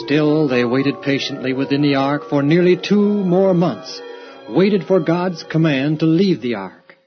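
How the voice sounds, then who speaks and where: A man narrating a scripted biblical audio drama in a formal, measured, reverent manner. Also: fairly high pitch, lively intonation. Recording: dull recording with loud music.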